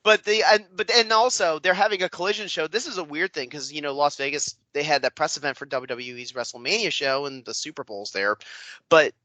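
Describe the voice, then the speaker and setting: A man co-hosting a casual wrestling podcast, opinionated and rambling, his voice dropping off quietly at the ends of thoughts. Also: on the high side, fairly quick, no room sound. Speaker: podcast co-host.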